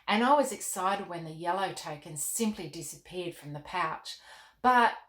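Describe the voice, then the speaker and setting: A woman reading the part of an earnest, questioning nine-year-old girl in a children's storybook, with an expressive manner. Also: fairly low pitch, moderate pace. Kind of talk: storybook read-aloud. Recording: roomy, echoing indoor sound.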